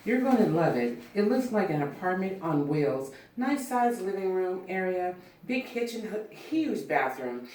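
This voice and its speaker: A woman reading the part of a brisk, friendly first assistant director at a film script table read. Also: fairly low pitch, sing-song, darkish tone. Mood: chatty. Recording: roomy, distant mic, faint noise, clicks.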